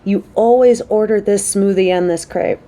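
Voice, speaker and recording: Woman acting a playful, motherly coworker with mellow voice. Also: medium pitch, normal speed, close to an everyday voice. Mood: pleased, affectionate.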